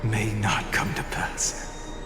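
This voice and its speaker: A man playing a young, noble, tragic hero in a fantasy game, earnest and burdened, with weighty, halting pauses. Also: lowish voice, little pitch movement. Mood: weary, grieving. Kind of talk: dramatic fantasy game voice acting. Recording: echoey hall reverb under music.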